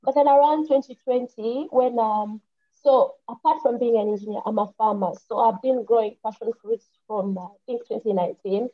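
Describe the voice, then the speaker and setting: A woman's voice: on the high side, normal speed. A young woman with a Ugandan English accent, an engineer and farmer, telling her story earnestly on an online panel, often restarting her sentences. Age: young adult. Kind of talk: casual personal account on an online panel. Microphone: computer mic on a video call. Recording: muffled lo-fi mic.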